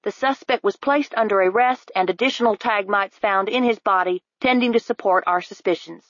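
A woman voicing a crisp, efficient female court officer giving a formal report. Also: medium pitch, dry recording, lo-fi sound, voice pushed up, lightly acted. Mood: matter-of-fact. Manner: brisk, formal report delivery.

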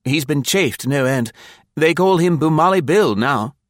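A man voicing a naive, excitable young British army officer in an audiobook, chattering eagerly in upper-class slang. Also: fairly high pitch, measured pace, dry recording, clean studio sound, pitched above the performer's own voice. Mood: eager, confiding.

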